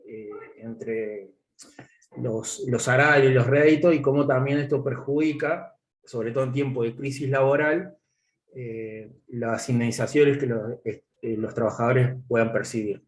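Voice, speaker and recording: A man giving a class presentation over a video call, halting and full of filler pauses. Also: mid-range voice, fairly even intonation. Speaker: student presenter. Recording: echoey room audio.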